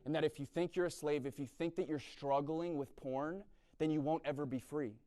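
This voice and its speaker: A man's voice: fairly high pitch, fairly even intonation, brisk, warm tone. A young man from the East Coast of the US giving a blunt, sermon-style talk and often checking in with "right?". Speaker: speaker at a university chapel event.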